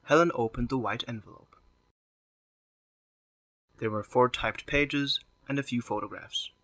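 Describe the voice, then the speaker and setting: Story narrator: man, forceful bright delivery, medium pitch, dry studio-like recording. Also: measured pace, no echo.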